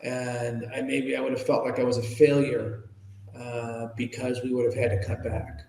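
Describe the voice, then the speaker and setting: An American man from Chicago, fifties or older, telling his own story candidly as a podcast guest in an informal, halting, emphatic way. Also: medium pitch, little pitch movement, dark tone, slight background noise. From Chicago, United States. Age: 50s or older. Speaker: podcast guest, ethics trainer and speaker. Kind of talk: candid personal storytelling in an interview. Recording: roomy, echoing reverberant room.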